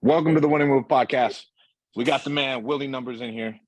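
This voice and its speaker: A man's voice: medium pitch, fairly quick, a little hiss or hum. A man hosting a casual podcast interview, talking in slangy, bro-heavy language with some swearing. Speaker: podcast host interviewing entrepreneurs.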